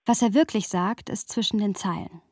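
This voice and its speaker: A woman's voice: mid-range voice, normal speed, darkish tone, no echo, studio quality, lightly acted. An actress playing a reflective young woman who narrates a radio play in literary style. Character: reflective young woman narrating her friendship in first person.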